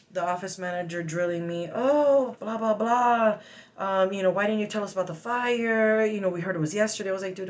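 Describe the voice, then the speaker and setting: A woman venting in a casual personal story, blunt and sweary, with halting, emphatic, agitated speech broken by sudden vocal tics. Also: on the low side, a little mellow, highs cut off. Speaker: vlogger telling a personal story.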